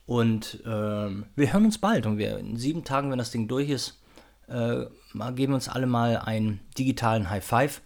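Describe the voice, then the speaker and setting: A man's voice: mid-range voice, moderate pace, gravelly, darkish tone. A man hosting a podcast, making a casual unscripted announcement in an informal, chatty, somewhat hesitant and rambling way. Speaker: podcast host.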